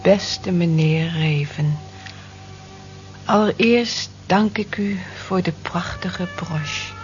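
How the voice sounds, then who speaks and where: Low, slow, wistful housewife. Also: female voice, faint music behind. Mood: confiding, reflective. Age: 40s. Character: lonely working-class housewife of forty-four, gentle, reflective, wistful. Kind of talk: naturalistic radio play acting, intimate monologue. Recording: muffled old radio drama recording.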